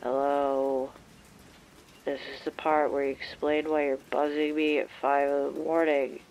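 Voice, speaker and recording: Woman as a gravelly, surly shopkeeper. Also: low-pitched, a little slow, slight background noise, low-quality recording. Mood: irritated, sleepy. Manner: deadpan, grumpy. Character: surly, weary, sarcastic female bookstore keeper. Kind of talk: audio roleplay drama acting.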